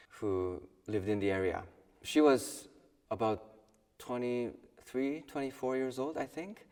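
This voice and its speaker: A young man in his twenties from South Korea, a violin student, speaking thoughtfully with pauses in an interview. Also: lowish voice, big-room sound, distant mic. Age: 20s. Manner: thoughtful, soft-spoken with pauses and small laughs. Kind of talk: personal documentary interview.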